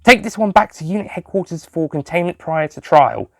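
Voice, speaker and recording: A man voicing a brisk, bossy female space agent in an audio story. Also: fairly high pitch, animated, vocal fry, fairly bright tone, no room sound, studio quality, voice pushed up. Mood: commanding.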